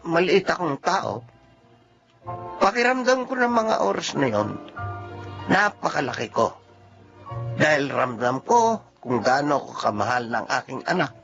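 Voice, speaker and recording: A gentle middle-aged Filipino father speaks tenderly in a slightly high voice. He is forgiving and quietly proud, in radio drama style, on a dull recording with music behind. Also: big pitch swings, measured pace, gravelly, long pauses, exaggerated voice. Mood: proud, moved. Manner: tender, heartfelt.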